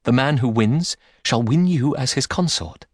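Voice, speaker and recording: A man voicing a female messenger goddess who coaxes softly and tenderly. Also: medium pitch, expressive, unhurried, no echo, studio quality, voice pushed up. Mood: coaxing. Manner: soft, coaxing. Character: gentle messenger goddess, female, disguised as kin.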